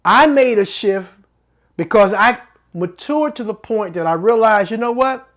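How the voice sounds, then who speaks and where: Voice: mid-range voice, lively intonation, measured pace, fairly bright tone. Middle-aged host, faith talk show, earnest, preacherly, repeats phrases for emphasis. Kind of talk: faith-based teaching monologue.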